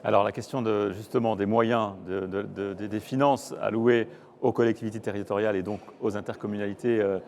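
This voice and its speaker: A man's voice: on the low side, fairly even intonation, brisk, a little mellow, a little hiss or hum. A man interviewing, feeling his way through long questions with hesitant, roundabout phrasing.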